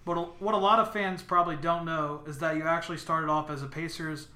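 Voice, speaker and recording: A man's voice: on the high side, steady tone, fast, sharp tone. An American man co-hosting a sports podcast, chatting casually and asking questions. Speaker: podcast co-host.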